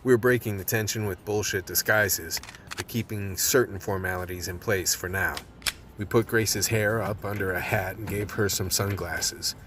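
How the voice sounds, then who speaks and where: A man's voice: lowish voice, steady tone, moderate pace, noisy background, lightly acted. A man playing a world-weary, tense vice cop who narrates a radio play in a hard-boiled manner. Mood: tense, brooding.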